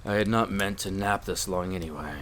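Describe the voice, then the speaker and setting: A man's voice: deep voice, brisk, fairly bright tone, slight background noise. A man playing a stern, reserved vampire lord of a castle in a roleplay audio drama, terse and commanding. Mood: drowsy, suspicious.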